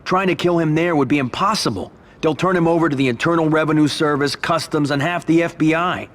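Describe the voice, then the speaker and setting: A man's voice: fairly quick, a bit bright, lightly acted. A man playing a loyal, wary, determined mafia underboss in a video game cutscene, with terse, guarded delivery. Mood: doubtful. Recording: clear low rumbling background noise.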